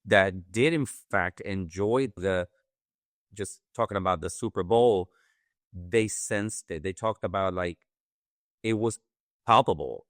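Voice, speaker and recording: A man with a Puerto Rican Spanish accent speaks in a low, smooth, resonant voice. He sounds earnest and reflective, working through his ideas aloud in a therapy-informed chat. The sound is close and dry, with no room behind it. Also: no echo. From Puerto Rico. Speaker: podcast co-host.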